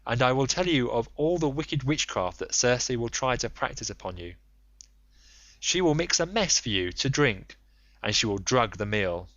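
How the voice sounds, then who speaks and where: A man reads as a youthful god in disguise from an ancient epic, assured and knowing as he instructs. The pitch is middling and the recording very dry, a touch dull. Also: fairly bright tone, no room sound, close to an everyday voice. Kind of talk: audiobook character reading.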